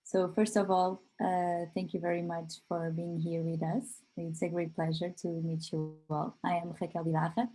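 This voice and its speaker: A woman giving an online webinar presentation over a video call, pausing often on filler sounds as she talks. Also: on the low side, flat delivery, warm tone, rough recording. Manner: hesitant, frequent filler pauses. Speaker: company presenter.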